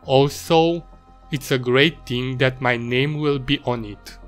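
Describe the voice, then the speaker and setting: A man with a Hungarian accent talks through his drawing in a mid-range voice, unhurried and a little halting in his English. Soft music plays underneath. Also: medium pitch, fairly even intonation. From Romania, Transylvania. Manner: measured, slightly halting non-native English. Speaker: illustrator narrating his drawing process. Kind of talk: voice-over narration.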